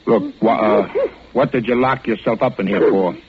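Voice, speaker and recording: An actor with an American accent playing a gruff, skeptical policeman, brusque and commanding, in 1940s radio style. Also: lowish voice, moderate pace, natural voice. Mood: forceful. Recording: noisy, dull old radio recording.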